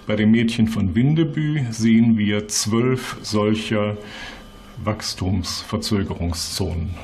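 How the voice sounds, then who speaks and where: A man speaking as a scientific expert, explaining findings in careful, halting phrases. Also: lowish voice, a little mellow, a little hiss or hum. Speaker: physicist, expert being interviewed.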